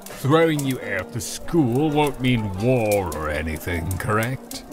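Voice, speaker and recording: A man's voice: mid-range voice, animated, slow. A man playing a mild, inquisitive young fantasy adventurer in a visual novel, polite and questioning. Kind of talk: visual novel character acting. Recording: voice under prominent music.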